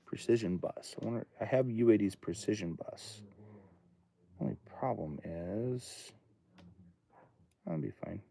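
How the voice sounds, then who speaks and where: A man's voice: lowish voice, lively intonation, a little slow, vocal fry, long pauses, warm tone. A man in his late thirties chatting casually on a livestream from his home studio, thinking aloud and rambling between topics. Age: 30s. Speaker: livestream host, studio owner.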